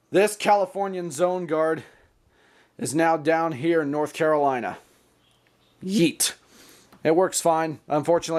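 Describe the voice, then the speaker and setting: An American man hosting a casual hobby vlog, talking in a chatty, playful, slangy way. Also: fairly high pitch. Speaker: hobbyist video host. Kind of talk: casual show-and-tell vlog.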